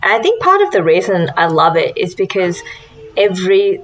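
A woman's voice: on the low side, sing-song, normal speed, low-quality recording. A young woman co-hosting a podcast, chatting casually in a friendly, upbeat way with frequent ums. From Australia. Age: young adult. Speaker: podcast co-host. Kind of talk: casual chat.

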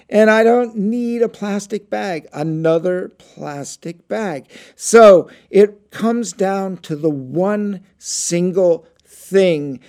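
A man's voice: sits high, creaky, dark tone. A man talking in a casual, earnest monologue, rambling a little as he reflects. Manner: earnest, rambling, slow and thoughtful.

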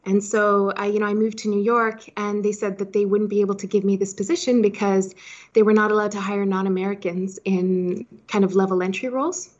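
A woman's voice: mid-range voice, level tone, a little fast, dull audio. A woman in her thirties from Hong Kong, born in Switzerland, answering interview questions conversationally with plenty of "you know" asides. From Switzerland-born, raised in Hong Kong. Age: 30s. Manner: chatty, peppered with "you know". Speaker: podcast guest, startup founder.

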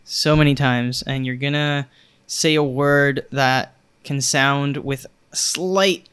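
Bright, clear-voiced young man. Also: mid-range voice, slow pace. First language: Spanish. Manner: relaxed, thoughtful, explaining with examples. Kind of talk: casual conversation.

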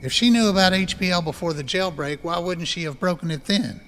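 A man's voice: sits high, a little fast, fairly bright tone, a little hiss or hum. A man playing a wary, irritated doctor putting pointed, sardonic questions to a rival in a radio play. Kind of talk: radio drama acting. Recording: long hall-like reverb.

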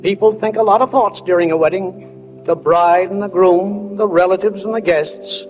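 An actor playing a homespun, plainspoken small-town stage manager who narrates a 1940s radio drama. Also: male voice, sits high, steady tone, normal speed, darkish tone, close to an everyday voice. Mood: folksy musing. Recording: dull 1940 radio recording, faint music.

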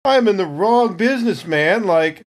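Man playing a slangy, higher-voiced space Marine. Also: expressive, measured pace. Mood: boisterous, amused. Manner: slangy, teasing, incredulous. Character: boisterous, slangy hard-drinking male space Marine. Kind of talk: improvised tabletop roleplay.

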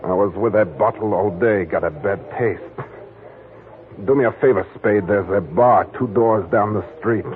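An actor playing a surly, nervous male ex-mobster who talks in gruff, clipped, broken phrases in an old radio drama. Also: fairly low pitch, normal speed, slight background noise, lightly acted. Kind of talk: 1940s radio acting. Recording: tinny old radio broadcast.

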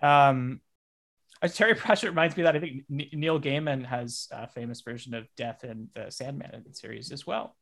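A man's voice: medium pitch, normal speed, creaky, a bit bright. A man hosting a casual comedy podcast, talking in a hesitant, rambling way as he interviews. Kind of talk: casual improvised comedy chat.

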